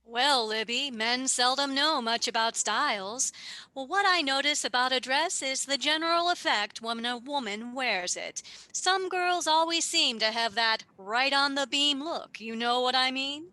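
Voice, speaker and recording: A woman's voice: high voice, unhurried, sharp tone, no room sound. A woman playing a genial, salesmanlike radio announcer delivering 1940s-style advertising. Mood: genial, persuasive.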